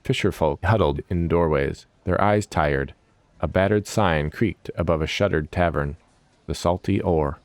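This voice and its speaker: Male fantasy narrator: deep register, dramatic scripted storytelling, dry studio-like sound. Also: moderate pace, no echo.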